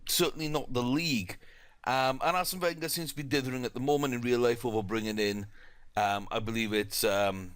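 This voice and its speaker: A man's voice: medium pitch, a bit bright, smooth, no room sound. A young man with a South Welsh accent, chatting casually through a football management game with lots of fillers and restarts. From South Wales. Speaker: gaming YouTuber.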